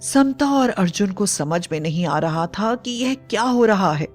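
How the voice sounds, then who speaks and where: A woman's voice: mid-range voice, lively intonation, measured pace. A woman narrating a scripted bedtime story as a storyteller. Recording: faint music bed. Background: soft background music.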